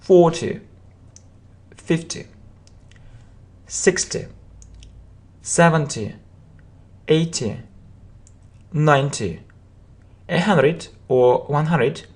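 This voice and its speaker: Very slow, deliberate English teacher. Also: mid-range voice, a little mellow. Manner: repeats each phrase slowly for practice; breaks phrases into separate words. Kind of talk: slow drilling language lesson.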